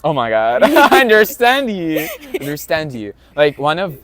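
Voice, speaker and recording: A man's voice: on the high side, wildly swooping pitch, measured pace, fairly bright tone. Chatty young man interviewing students. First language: Ukrainian. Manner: chatty, laughs along, non-native phrasing. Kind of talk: casual street interview.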